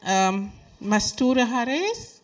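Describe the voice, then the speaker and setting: A woman moderating a panel, halting and informal. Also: a little high, big pitch swings, distant mic.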